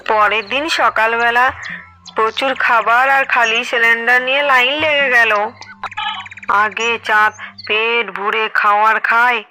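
A woman's voice: fairly high pitch, fairly even intonation, unhurried, bright, forceful tone, slight background noise, low-quality recording. A woman narrator reading a scripted children's story. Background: music.

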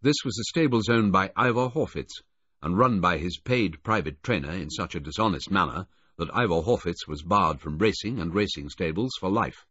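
A man's voice: low-pitched, expressive, normal speed, pushed and bright, husky, dry recording, heavily acted. Brigadier, a narrator doing a stern military man. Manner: clipped, formal pronouncements. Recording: dull lo-fi, dry close audio.

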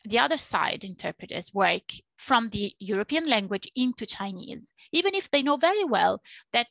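A woman in her thirties with an Italian accent speaks over a headset from a small home office, earnest and repeating her points. Her voice has a bit of gravel in it, and the sound is dry and close. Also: on the high side, very animated, normal speed, a bit bright, dry recording. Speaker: interpreter and Chinese interpreting teacher. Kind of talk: webinar panel talk. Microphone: headset on a video call.

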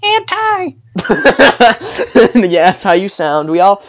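A man's voice: high voice, wildly swooping pitch, slow pace, fairly bright tone. A young man co-hosting a casual podcast over an online call, talking in a joking, rambling way. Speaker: podcast co-host. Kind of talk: casual chat.

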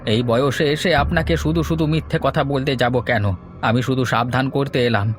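Man as an old servant, cautious, humble and pleading, mid pitch, horror cartoon, faint music. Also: steady tone, moderate pace, close to an everyday voice. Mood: earnest, warning. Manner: deferential, earnest, pleading.

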